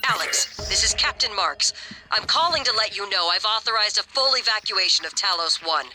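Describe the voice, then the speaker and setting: Sharp, commanding station captain. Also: female voice, medium pitch, lively intonation, moderate pace, soft background music, rough recording. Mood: formal, grave. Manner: terse, commanding, formal. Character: grave, authoritative female space station captain. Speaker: ship's captain. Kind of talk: video game voice acting.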